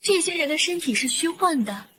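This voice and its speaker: A woman playing a young female warrior, alert and serious, in an animated drama. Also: sits high, brisk, no echo. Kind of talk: dramatic animated-series acting.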